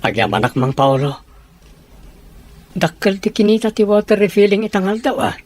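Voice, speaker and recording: A man's voice: high-pitched, very animated, moderate pace, darkish tone. Man playing a plaintive, downhearted working husband. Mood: humble, resigned. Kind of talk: audio drama acting.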